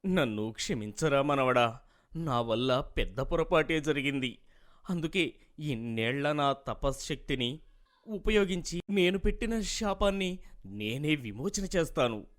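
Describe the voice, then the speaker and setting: An actor playing a remorseful old sage and grandfather in a moral tale, speaking with grave, drawn-out emotion. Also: on the high side, animated, fairly bright tone.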